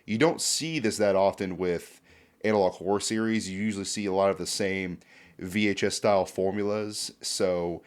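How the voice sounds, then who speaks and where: Male video host, lower register, conversational and keen, speculative casual commentary. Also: normal speed, smooth. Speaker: horror series commentator and host.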